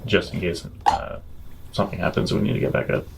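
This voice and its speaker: A man playing a tabletop game, talking casually in character with measured, hesitant phrasing. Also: sits low, level tone. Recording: clear background noise.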